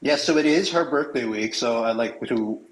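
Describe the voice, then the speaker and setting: A man in his thirties co-hosting a live video call chat, relaxed and searching for words. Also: mid-range voice, moderate pace. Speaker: podcast co-host. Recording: echoey room, faint noise.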